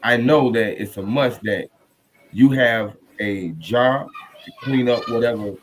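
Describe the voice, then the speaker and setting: A man hosting a podcast, speaking in a halting, courteous and reverent conversational way. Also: mid-range voice. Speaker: podcast host. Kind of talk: conversational podcast introduction and discussion. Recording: noticeable background noise.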